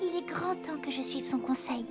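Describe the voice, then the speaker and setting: Young cartoon princess, female actor: very high, earnest, well-bred, with music underneath. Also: moderate pace, natural voice. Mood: resolute. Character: young princess, proper, determined yet unsure of herself. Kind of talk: animated fairy-tale dub acting.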